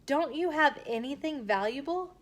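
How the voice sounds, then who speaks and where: A woman's voice: high voice, animated, normal speed, pitched above the performer's own voice. A woman voicing a dim, easily swayed masked male robber in a children's storybook, with demanding, exclaimed lines. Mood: exasperated.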